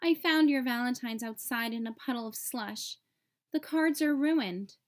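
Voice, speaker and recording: A woman's voice: fairly high pitch, unhurried, close to an everyday voice. A woman voicing a caring, busy mother turtle in a children's storybook, motherly and prompting. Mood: apologetic.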